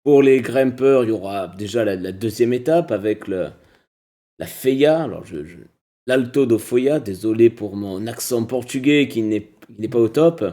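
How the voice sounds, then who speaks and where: A man's voice: medium pitch, normal speed, dark tone. A man co-hosting a cycling podcast, talking casually with many hesitations and restarts.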